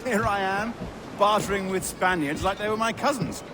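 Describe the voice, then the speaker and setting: A man's voice: high voice, moderate pace, heavy background noise, close to an everyday voice. A man playing a genteel, nervously chatty merchant in a period video game, with an effusive, affected politeness, music behind him.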